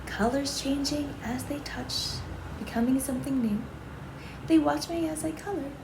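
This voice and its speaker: A woman reads a children's storybook aloud in a deliberate, sing-song way, like a preschool teacher with rhyming verse. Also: sits high. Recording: roomy, echoing, clearly noisy home-style recording.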